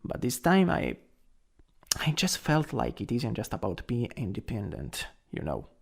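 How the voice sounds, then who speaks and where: A young man acting a caring, worried best friend in an ASMR roleplay, gentle, hesitant and intimate. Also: medium pitch, huge pitch swings, measured pace, gravelly, husky, heavily acted.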